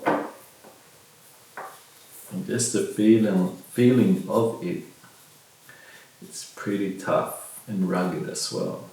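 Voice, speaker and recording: Echoey, low-voiced man, unhurried. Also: steady tone, dark tone. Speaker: product reviewer. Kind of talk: casual unboxing demonstration. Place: small bare indoor room at a table. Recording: very echoey room, distant mic, faint noise.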